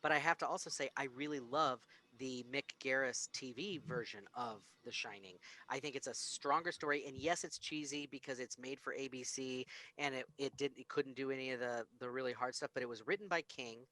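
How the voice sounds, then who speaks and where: A man's voice: medium pitch, brisk, few pauses, pushed and bright. A man hosting a podcast in casual, opinionated discussion, speaking emphatically with repeated phrases and some swearing. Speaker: podcast host.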